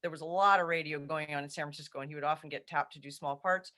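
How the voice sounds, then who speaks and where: A woman telling a personal anecdote on a video call, deliberately, quoting the people in it. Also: low-pitched, steady tone, bright, forceful tone. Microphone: video call microphone.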